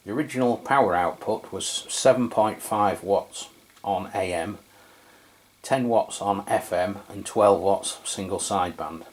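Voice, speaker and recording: A middle-aged man with an English accent presents a casual review. His voice sits fairly low and he speaks very slowly. The delivery is conversational and a little hesitant, as he feels his way through each point. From England. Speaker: radio review channel host. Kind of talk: casual product review commentary.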